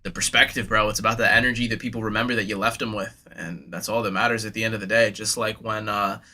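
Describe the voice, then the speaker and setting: A man's voice: fairly low pitch, steady tone, fast talker, bright, forceful tone. A young man hosting a live sports card break, chatting casually and hyped with slang, speaking straight to his viewers in a slightly nasal voice.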